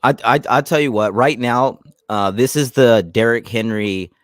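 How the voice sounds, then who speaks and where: A man hosting a casual live stream about his football team, talking in animated bursts and often repeating words as he restarts. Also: mid-range voice, normal speed, no room sound. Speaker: YouTube channel host.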